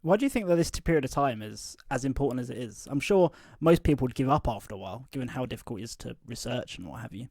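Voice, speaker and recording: Casting: male actor as podcast interviewer, polite, measured, slightly hesitant, soft mellow tone, dry close recording. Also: mid-range voice, expressive, no room sound. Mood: questioning. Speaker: podcast host. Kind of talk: fiction podcast interview and narration.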